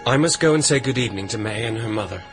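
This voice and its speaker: An actor playing a genteel, wistful young New York gentleman in an audiobook drama, speaking in a measured, formal and refined way. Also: mid-range voice, animated, close to an everyday voice. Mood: earnest, tender. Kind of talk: audiobook drama narration and dialogue. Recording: music behind the voice.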